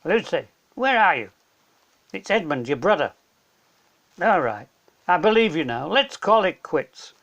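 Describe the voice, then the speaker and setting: A man's voice: a little high, big pitch swings, unhurried, pauses often, fairly bright tone, muffled recording, exaggerated voice. A man voicing a nervous, sulky young boy in a storybook reading. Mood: curious, sulky.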